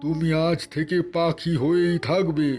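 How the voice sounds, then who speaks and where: A man's voice: on the high side, little pitch movement, measured pace, darkish tone, faint music behind, lightly acted. A man playing a proud, wrathful holy man who casts curses in a cartoon fairy tale, speaking in a stern, pompous manner. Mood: stern, offended. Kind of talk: animated fairy tale acting.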